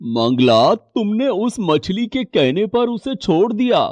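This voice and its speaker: A man playing a poor, humble husband in a moral story, with a drawn-out, plaintive delivery. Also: high-pitched, sing-song, darkish tone, exaggerated voice. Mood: doubtful. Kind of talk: moral storybook cartoon voice acting. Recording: muffled, roomy echo, faint music.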